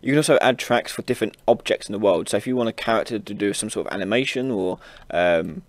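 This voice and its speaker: A man hosting an informal software tutorial, casually explaining each step as he demonstrates it on a close mic. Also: fairly low pitch, fast talker, dry recording. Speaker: tutorial host. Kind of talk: informal screen-recorded software tutorial narration.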